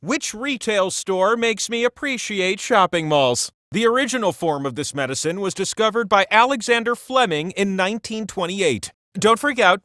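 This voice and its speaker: Talking wheel host for a video game, high voice, super theatrical and sassy, very dry sound. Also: male voice, bright, forceful tone, no room sound, exaggerated voice. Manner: campy, dramatic, playful delivery. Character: sentient talking game-show wheel, godlike, flamboyant and vain. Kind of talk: theatrical game-show narration.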